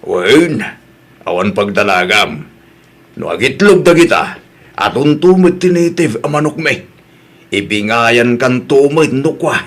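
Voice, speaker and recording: A man's voice: mid-range voice, big pitch swings, measured pace. A man with an Ilocano accent playing an easygoing rural father-in-law in an audio drama, chatting in a folksy way.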